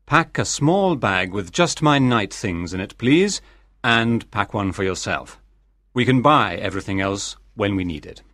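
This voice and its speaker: An actor playing a calm, formal, coolly confident English gentleman in a dramatized audiobook, speaking exactly and with measured care. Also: on the low side, no echo, clean studio sound. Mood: calm, instructing.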